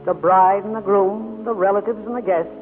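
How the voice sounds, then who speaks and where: An actor playing a folksy small-town narrator who addresses the listeners in a 1940s radio drama. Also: male voice, high-pitched, fairly even intonation, normal speed, close to an everyday voice. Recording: tinny old radio broadcast, music behind.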